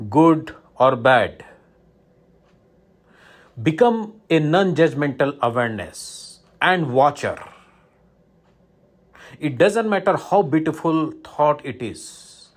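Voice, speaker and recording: A man teaching guided meditation, giving instructions in short, repeated phrases with pauses between them. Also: fairly high pitch.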